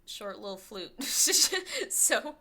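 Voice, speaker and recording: Bright-voiced woman in profane chat. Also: very animated, extremely slow. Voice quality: small light voice without much bass. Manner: candid, profane, rambling, laughs often. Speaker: streamer. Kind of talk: casual chat with stream viewers.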